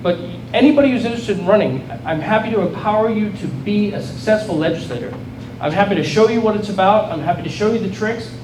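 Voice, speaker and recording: A man's voice: high voice, fast. A middle-aged man from South Africa with a South African accent, giving an earnest, rallying political speech to a crowd and often restarting his phrases. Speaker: state legislator giving a speech. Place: event hall with an audience. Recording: echoey hall, voice from far off, noisy.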